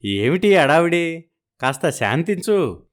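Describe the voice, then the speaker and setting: Man acting a sardonic, grumbling father, raised pitch. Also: very animated, slow pace. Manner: sardonic, teasing. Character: grumbling, sceptical middle-aged father and husband.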